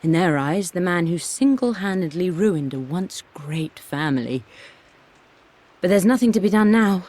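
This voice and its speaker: A woman's voice: lowish voice, expressive, moderate pace, darkish tone. An actress playing a young, strong-willed English noblewoman with a refined English accent, reading a personal journal in a video game. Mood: tender, resolute. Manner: refined, wry. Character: aristocratic young Englishwoman, defiant, wry, in love.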